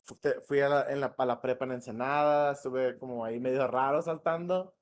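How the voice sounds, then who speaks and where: A man from northwest Mexico with a northern Mexican accent, a game director talking as a podcast guest in a relaxed, slangy, rambling way. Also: mid-range voice, fairly quick, slight background noise. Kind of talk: casual podcast interview. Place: home in Morelia.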